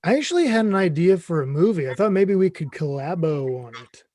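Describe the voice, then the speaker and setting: Eager, clueless middle-aged stepdad type, played by a man, high for a male, earnest comedy read, dry recording. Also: very animated, brisk, dark tone, no room sound. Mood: chummy. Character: Craig, mom's 55-year-old boyfriend, clueless, eager, chummy. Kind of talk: comedy script table read.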